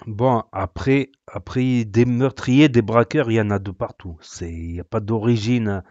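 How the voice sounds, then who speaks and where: A man's voice: lowish voice, unhurried, darkish tone, no echo. Sarcastic guy rambling thru a reaction vid, lots of ums, dull dry recording. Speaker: reaction video host. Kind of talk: casual reaction commentary.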